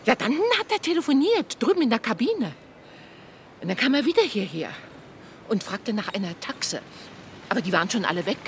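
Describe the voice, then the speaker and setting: A woman's voice: a little high, big pitch swings, a little fast, long pauses, a little hiss or hum. An actress playing a chatty, observant kiosk woman and housewife giving matter-of-fact testimony in a German radio crime drama.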